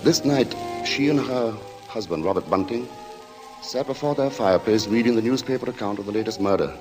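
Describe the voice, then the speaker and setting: An actor playing a measured, ominous narrator of a 1940s-style radio suspense drama. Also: male voice, lowish voice, lightly acted, poor audio. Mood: grave, ominous. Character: grave, suspenseful male storyteller of a murder tale. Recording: old radio recording under loud music.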